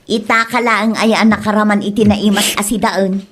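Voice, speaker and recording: A woman's voice: medium pitch, steady tone, normal speed, a bit bright, husky. A woman acting a teasing, bossy wife and mother in a comedy drama, chiding and commanding with an Ilocano accent.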